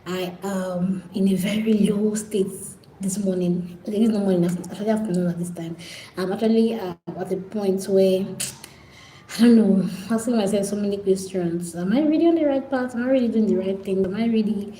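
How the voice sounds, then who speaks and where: A woman's voice: medium pitch, normal speed, mellow, echoey room, slight background noise, poor audio. A Nigerian woman hosting a casual live stream, pleading and repetitive as she talks straight to her viewers.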